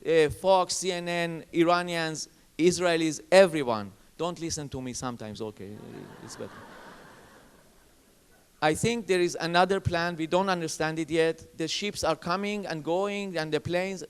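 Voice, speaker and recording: Panelist, man, English, emphatic, somewhat high voice with a smooth, resonant tone. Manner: emphatic, repetitive, halting phrasing. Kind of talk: panel discussion. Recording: reverberant conference hall.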